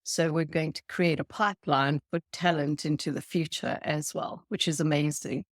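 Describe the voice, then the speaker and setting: A woman from South Africa with a South African accent, a guest on a podcast, explaining her work in an earnest, measured way. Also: low voice, steady tone, dry recording, clean studio sound. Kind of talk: podcast interview.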